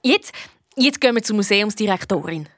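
A clever, self-assured woman detective tells her case in Swiss German. She is brisk and a little cheeky, in the storytelling style of a children's radio play. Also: medium pitch, animated, fairly bright tone, no echo, studio quality. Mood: eager. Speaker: lead detective and narrator in a children's audio drama.

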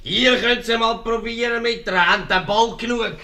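An actor playing a stubborn, complaining husband with a Swiss German accent in an old 1950s radio comedy. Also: male voice, very high-pitched, moderate pace, bright, forceful tone. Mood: sardonic grumbling. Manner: grumbling, complaining. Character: grumbling, stubborn henpecked husband in custody. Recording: distant, faintly noisy old radio recording.

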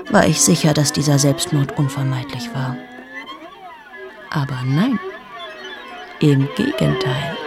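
An actress in her thirties playing a cynical, sharp-tongued journalist in a radio play monologue, speaking in sardonic, confiding asides to the listener. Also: sits low. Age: 30s. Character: cynical 38-year-old woman journalist, sharp-tongued, mocking.

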